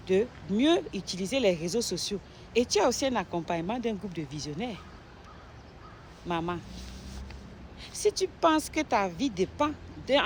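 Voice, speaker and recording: A woman from Cameroon, a nurse, making a direct persuasive sales pitch to the listener. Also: a little mellow, a little hiss or hum. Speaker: nurse promoting an online business.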